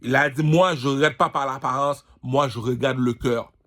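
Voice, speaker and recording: A man's voice: medium pitch, steady tone, moderate pace, fairly bright tone. A man delivering an informal rant, boastful and slangy, repeating himself and firing off emphatic rhetorical questions. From Canada, immigrated at age five. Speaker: singer and vlogger.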